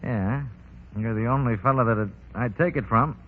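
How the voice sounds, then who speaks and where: A man's voice: medium pitch, normal speed, natural voice. A man acting a shrewd American racketeer boss in a 1930s radio drama, with slangy, sardonic, commanding talk. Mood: persuasive. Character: smooth, shrewd male racketeering crime boss. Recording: dull 1930s radio broadcast.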